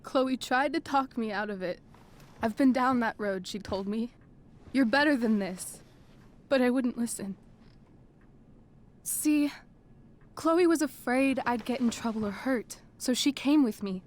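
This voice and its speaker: A poised teenage schoolgirl played by a woman with a fairly high voice. She sounds earnest and measured, a persuasive talker in a naturalistic video game drama. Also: lightly acted. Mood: contrite, earnest. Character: poised, persuasive teenage girl, a convincing schemer.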